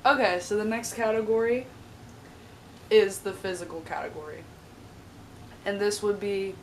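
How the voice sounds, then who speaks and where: Young adult woman, college vlogger, low-ish voice, slightly raspy, chatty filler-heavy delivery, mic a bit distant. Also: a little hiss or hum. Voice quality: chatty, lots of "like" and "um". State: slightly raspy from a sore throat. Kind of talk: casual vlog talk.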